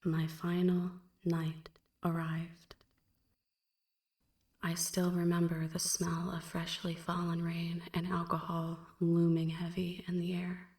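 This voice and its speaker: Woman acting a hushed, slow-speaking melancholy female vampire. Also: fairly low pitch, monotone, echoey room, distant mic. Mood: somber, haunted. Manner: hushed, breathy half-whisper, measured and brooding. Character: melancholy female vampire recounting her dark past. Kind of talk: ASMR roleplay, slow dramatic first-person narration.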